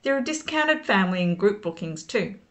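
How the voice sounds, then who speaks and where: A middle-aged woman narrating a travel vlog voice-over, calm and informative, giving tips and recommendations. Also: medium pitch, a little fast. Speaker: travel vlogger. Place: outdoors at a riverside city park.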